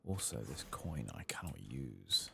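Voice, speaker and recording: A man giving soft-spoken ASMR game commentary, sometimes dropping to a whisper, with a dry, self-deprecating way of murmuring to himself. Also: a bass voice, very animated, measured pace, gravelly, slight background noise. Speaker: host of a gaming ASMR channel.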